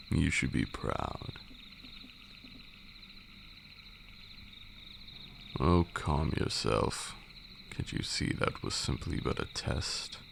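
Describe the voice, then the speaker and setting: A man playing an ancient, haughty vampire lord in an ASMR roleplay, a condescending mentor with formal, archaic speech and a gravelly voice. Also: exaggerated voice. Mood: stern, haughty. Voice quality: very deep, gravelly. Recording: steady noise with night insects. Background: crickets and night insect ambience.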